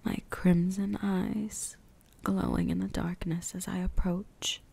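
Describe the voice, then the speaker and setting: An ancient vampire huntress acted by a woman murmurs to her prey in an ASMR roleplay. Her voice is soft and dark, with breathy whispers and a creaky edge. She speaks very slowly, seductive, possessive and quietly menacing. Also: fairly low pitch, level tone, heavily acted. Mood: predatory, taunting. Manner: slow, teasing, menacing. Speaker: voice actress.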